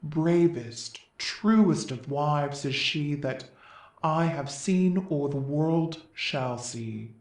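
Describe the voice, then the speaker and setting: A man's voice: fairly high pitch, extremely slow. A man playing a mournful citizen in a Greek tragedy chorus, speaking solemn, elegiac verse. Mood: mournful reverence. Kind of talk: verse stage drama. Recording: echoey room.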